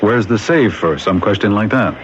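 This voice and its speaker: An actor playing a pragmatic, world-weary police captain in a radio mystery drama, blunt and lecturing. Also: male voice, fairly low pitch, lively intonation, normal speed, natural voice. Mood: sceptical. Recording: dull, noisy vintage radio broadcast.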